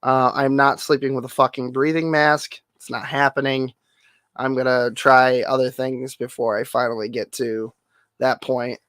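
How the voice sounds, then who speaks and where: A man streamer with a nasal, hoarse voice, rambling through a casual update full of fillers and offhand swearing. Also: mid-range voice, flat delivery, normal speed, a bit bright, no echo. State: hoarse, losing voice.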